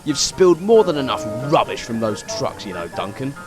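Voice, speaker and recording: A man voices a sensible train engine in an audio drama, scolding a workmate. The intonation moves up and down a lot, with music clearly behind it. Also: mid-range voice, very animated, fairly quick, close to an everyday voice. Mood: reproving. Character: reproving, sensible male narrow-gauge engine workmate. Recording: music under the voice.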